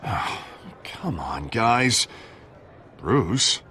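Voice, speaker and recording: An actor playing a slick, earnest American politician who is eager to please, in a video game. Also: male voice, on the low side, very animated, extremely slow, sharp tone, heavily acted. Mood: nervous, placating. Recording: crowd chatter behind.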